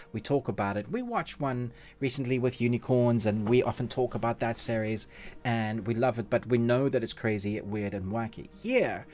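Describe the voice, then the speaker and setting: A man's voice: medium pitch, a little mellow. A man hosting a review, speaking conversationally and giving his opinions in a casual monologue. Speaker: online reviewer and show host.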